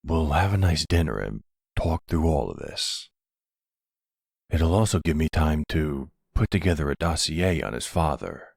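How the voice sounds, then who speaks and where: A man playing an older mafia boss, a protective and tender lover, speaking soothingly in an intimate ASMR boyfriend roleplay with a gravelly, breathy voice. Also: a bass voice, lively intonation, no echo, clean studio sound, heavily acted. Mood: calm, resolute. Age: middle-aged. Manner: soothing, unhurried, full of pet names.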